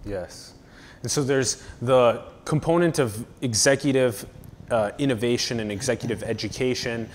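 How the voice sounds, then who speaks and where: A man's voice: medium pitch, moderate pace. A man hosting an interview podcast, talking casually and with enthusiasm. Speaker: podcast host.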